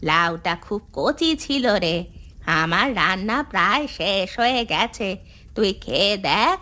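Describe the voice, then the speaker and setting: A woman's voice: mid-range voice, animated, a little slow, bright, forceful tone. A woman voicing a hardworking, homely elderly village mother in a cartoon, given to grumbling explanations. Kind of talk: Bengali cartoon story dialogue.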